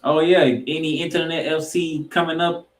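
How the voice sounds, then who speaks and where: A man's voice: on the high side, steady tone, normal speed. Roomy-sounding man hosting sports talk. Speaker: sports podcast co-host. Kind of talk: sports talk, game preview banter.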